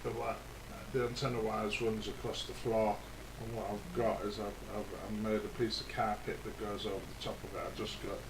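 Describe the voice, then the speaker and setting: A man's voice: on the low side, flat delivery, brisk, few pauses. British man rambling in an echoey, noisy recording. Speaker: hobbyist video host. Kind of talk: casual DIY vlog explanation. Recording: echoey, clearly noisy home-video sound.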